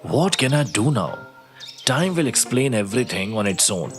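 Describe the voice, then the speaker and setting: A voice actor playing a wise old talking walnut tree in a cartoon story, calm and lecturing. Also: medium pitch, normal speed. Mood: gentle. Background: music.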